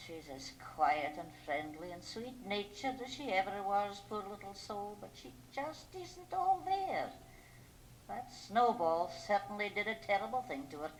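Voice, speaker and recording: A practical small-town mother in a 1980 radio play. She is earnest and emphatic, with a middling pitch, heard through the faint noise of a cassette copy. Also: expressive, a little slow. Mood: concerned, pitying. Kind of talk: 1980 radio drama acting. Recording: noisy home cassette copy of radio drama.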